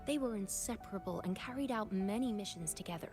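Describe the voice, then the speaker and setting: A woman's voice: mid-range voice, fast, close to an everyday voice. A woman voicing a curious, persistent researcher in a video game, recounting what she knows in a thoughtful way. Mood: intrigued, thoughtful. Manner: thoughtful storytelling. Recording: game dialogue over clear music.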